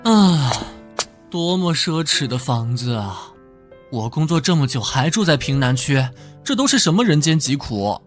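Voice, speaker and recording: Animated drama: young policeman, protective older-brother type, flustered, very lively mid pitch, music underneath. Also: measured pace. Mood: amazed. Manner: expressive, exclaiming, gently teasing.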